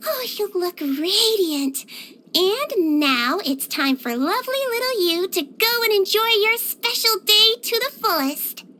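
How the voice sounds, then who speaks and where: A woman voicing a small, cute non-human girl nurse from a video game, speaking in a sweet, gentle, childlike and earnest way. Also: very high-pitched, lively intonation, unhurried, exaggerated voice. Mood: tender, cheerful. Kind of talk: scripted video game character voice lines.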